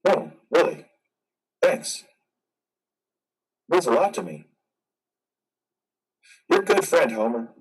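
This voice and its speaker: A man playing a brooding, guarded, grieving veteran in an amateur stage play reading, with a dramatic, halting delivery. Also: medium pitch, sing-song, slightly rough, recorded from a distance, full character voice, poor audio. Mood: guarded, grateful. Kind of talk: amateur reader's theater over video.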